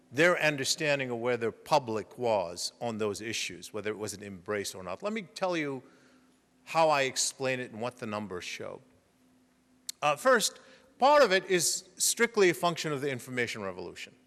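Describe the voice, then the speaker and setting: A middle-aged man delivering a public lecture in a hall with a long, lingering reverb. His pitch sits in an ordinary middle range for a man. He explains step by step, often pausing on "uh" and restarting his sentences. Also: fairly bright tone. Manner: measured, explanatory, with frequent fillers and restarts. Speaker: guest lecturer.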